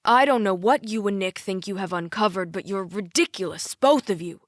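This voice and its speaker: A woman's voice: medium pitch, normal speed, no echo, studio quality, close to an everyday voice. A woman voicing a disapproving, awkward, imposing father in an audiobook, admonishing with emphasis. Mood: annoyed. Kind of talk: audiobook character dialogue.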